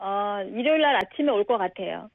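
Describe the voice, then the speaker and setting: A cheerful woman in her fifties calls in over a thin, tinny phone line. Her voice rises and falls in a lively way as she answers in short, friendly bursts. The sound is close and dry, with no room around it. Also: mid-range voice, brisk, creaky, dry recording. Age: 50s. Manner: cheerful, brief replies with light laughs. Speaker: radio listener caller. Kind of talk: casual phone chat.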